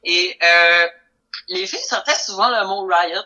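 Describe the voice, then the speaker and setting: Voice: medium pitch, unhurried, sharp tone. A band member talking over a video call, earnest and talkative, often repeating phrases. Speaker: band member, musician guest. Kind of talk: casual interview on a call. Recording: dull, lo-fi call audio.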